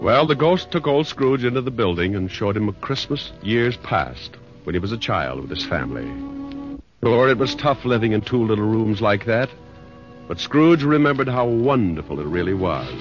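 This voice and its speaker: An actor playing a wry, slangy storyteller who narrates a Christmas tale in a folksy 1940s radio manner. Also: male voice, fairly low pitch, normal speed. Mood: storytelling. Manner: folksy, colloquial, animated. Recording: dull old radio recording, music behind.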